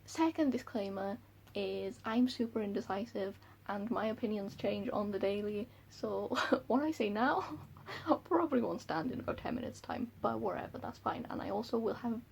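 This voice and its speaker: A woman's voice: mid-range voice, normal speed, creaky, a little mellow, slight background noise. A young woman with a Welsh accent chatting to camera about books at home, giggly and self-deprecating. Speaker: book vlogger. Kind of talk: casual chat to camera. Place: room at home by a window.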